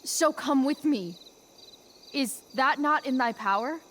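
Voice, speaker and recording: A teenage girl playing a loyal spirit in a game's amateur play. Her highish voice moves very slowly, halting as she searches for each archaic phrase. Faint crickets sit behind it. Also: a bit bright, a little hiss or hum. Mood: wistful, earnest. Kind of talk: amateur stage verse in a game cutscene.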